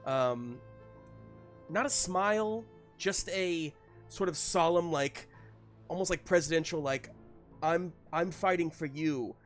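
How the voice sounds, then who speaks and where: Higher-voiced male game master over music. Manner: halting, searching for words in pieces. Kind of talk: improvised narration of a tabletop game.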